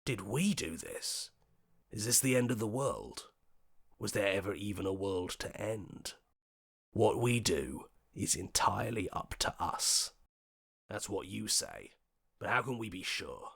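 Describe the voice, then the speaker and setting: Low, gravelly, level-headed game hero. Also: male voice, animated, a little slow, fairly bright tone, exaggerated voice. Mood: wary, reasoning. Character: level-headed, questioning male hero voice in the player's head. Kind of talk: video game voice acting.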